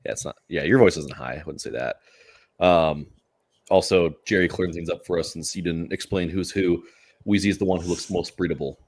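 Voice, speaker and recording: Fast-talking deep-voiced man, husky. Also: darkish tone. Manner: quick, joking, reads out comments. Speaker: podcast co-host. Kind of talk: casual sports chat. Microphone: livestream mic.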